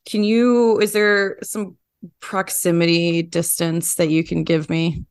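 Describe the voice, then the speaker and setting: Lady podcast host asking long curious questions, slightly low voice, dry studio-like sound. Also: flat delivery, slow, smooth, no room sound. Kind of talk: conversational interview questions.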